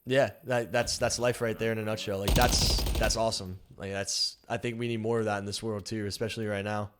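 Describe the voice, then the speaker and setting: A man's voice: lowish voice, little pitch movement, fairly quick, a little hiss or hum. Casual young man streaming, lots of "like". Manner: loose and frank, heavy "like" fillers, swears. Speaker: streamer and pro team coach. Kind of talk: casual chat while gaming on stream.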